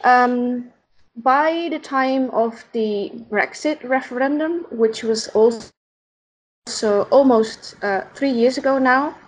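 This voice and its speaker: A Dutch woman with a Dutch accent, a podcast guest who speaks in a halting, thoughtful way while searching for words. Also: a little high, rough recording. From Netherlands. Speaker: podcast guest, founder of an organization. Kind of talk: casual interview conversation.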